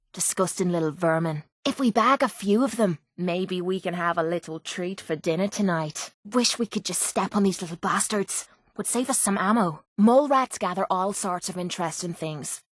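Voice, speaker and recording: A woman's voice: mid-range voice, dry recording, clean studio sound, lightly acted. A woman voicing a tough, sarcastic young Irish mercenary companion in a video game, blunt, cocky and slangy.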